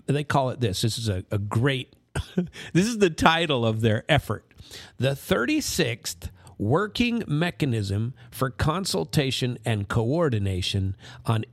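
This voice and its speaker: Gravelly-voiced American man commentating. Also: medium pitch, dry recording, clean studio sound. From United States. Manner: digressive, checks in with "right?" and "you know". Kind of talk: informal explanatory commentary.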